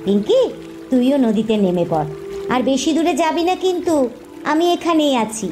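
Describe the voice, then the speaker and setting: A woman's voice: high voice, big pitch swings, normal speed, over music. A woman voicing a mother duck in a cartoon, teaching her young in a firm, instructive way. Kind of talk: cartoon voice acting.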